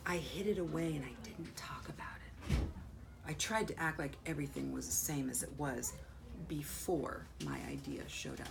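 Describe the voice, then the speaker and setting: An American woman, a school principal, reading a children's picture book aloud expressively, with dramatic pauses and drawn-out words. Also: low-pitched, sing-song, gravelly. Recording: faint background noise.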